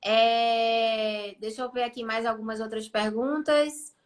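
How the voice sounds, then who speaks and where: A woman's voice: a little high, fairly even intonation, measured pace. A woman hosting a live stream, reading out viewers' questions to her guest and acknowledging answers briefly.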